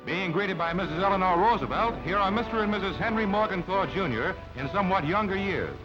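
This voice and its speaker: A man with a notably high voice announces newsreel items from a script. He sounds formal and declamatory. The old recording is dull and narrow, with music running underneath. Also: lively intonation, fairly quick. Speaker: newsreel narrator. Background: background music.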